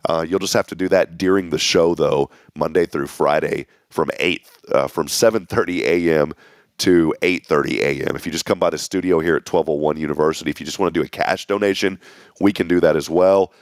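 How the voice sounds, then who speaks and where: Man, hoarse, low voice, folksy community announcements, dry close mic. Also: brisk, no room sound. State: losing his voice. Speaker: morning show host. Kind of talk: local morning show announcements. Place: small local TV studio.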